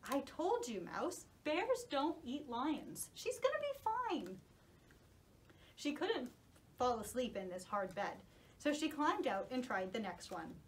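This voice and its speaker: A woman with a high, very lively voice tells a children's story. She hosts like a puppet show presenter, animated and reassuring, with wide swoops in her intonation. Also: takes it slowly, slight background noise.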